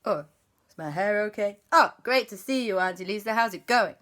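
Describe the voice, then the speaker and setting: A woman's voice: mid-range voice, animated, normal speed, a bit bright, voice pushed up, lightly acted. A woman voicing a vain, breezy male superhero in a children's story, casual and pleased with himself. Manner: casual, self-satisfied.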